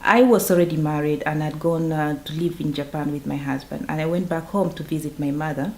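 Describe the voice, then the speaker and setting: A woman who founded a farming project speaks in an earnest, measured way, her voice sitting a little low. She is recorded in an echoey room with faint background noise behind her. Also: fairly even intonation, a little mellow. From northeastern Uganda. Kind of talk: documentary interview testimony.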